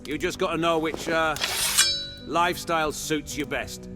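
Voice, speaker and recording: A man's voice: a little high, fairly even intonation, normal speed, over loud music, close to an everyday voice. A man playing a proud, gossipy paladin who banters and teases in a fantasy roleplay audio drama. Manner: teasing, indignant, conspiratorial.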